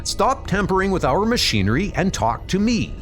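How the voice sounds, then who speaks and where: A man's voice: high voice, very animated, moderate pace, a bit bright, soft background music. A man voicing a young superhero in a comic book dub, flustered, impatient and indignant, with an agitated colloquial delivery.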